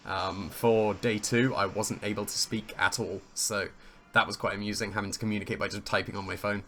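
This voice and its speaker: A man with a slightly hoarse, croaky voice commentates his own competitive match in casual play-by-play, thinking aloud, weighing his options and amused at his own plays. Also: on the low side, level tone, a little fast, bright, forceful tone, slight background noise.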